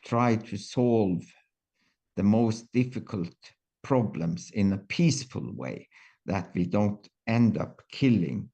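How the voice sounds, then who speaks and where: Slow gravelly older man, halting. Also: lowish voice, expressive, mellow. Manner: halting, many fillers and restarts. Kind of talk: reflective interview answers.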